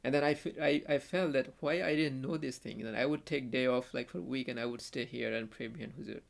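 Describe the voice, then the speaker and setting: A young man from Bangladesh with a Bangladeshi accent, a native Bengali speaker, telling a story as a podcast guest in a halting way, full of restarts and fillers. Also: mid-range voice, creaky. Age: young adult. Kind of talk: casual conversational storytelling.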